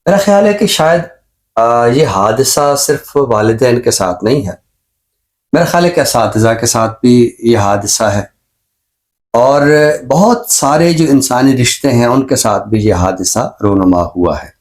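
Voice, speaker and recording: A man with a Pakistani accent and a mid-pitched voice speaks as an expert on a talk show. He reflects aloud in measured phrases. He builds each point through repetition and everyday examples. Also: expressive. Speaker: expert guest on a literary and social talk show. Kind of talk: reflective discussion.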